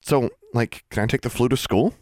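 A man's voice: mid-range voice, moderate pace, no room sound, studio quality, voice pushed up, lightly acted. A man voicing a blunt, curious teenage girl who talks casually.

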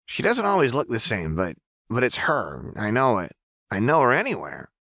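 An impatient, cheeky young woman in a storybook reading, as a male narrator voices her, quick and eager. Her voice is pitched well above the reader's own low tone, with a dry, close sound. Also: wildly swooping pitch, gravelly, fairly bright tone, no room sound, full character voice.

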